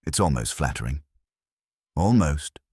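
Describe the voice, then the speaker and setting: A man's voice: rumbling low, sing-song, measured pace, darkish tone, breathy edge, no echo, clean studio sound, full character voice. A man voicing a bitter, defensive society drinker in an audiobook, sardonic and guarded.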